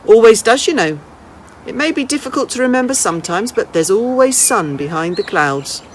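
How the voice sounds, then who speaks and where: Woman doing a bossy but kind little old lady from a kids story, chatty, really up and down pitch, bit of background noise. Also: animated, normal speed, close to an everyday voice. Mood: kindly, chatty. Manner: chatty, blunt, questioning with tag questions. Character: small brisk old lady, sharp then kindly and chatty. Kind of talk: children's storybook character reading.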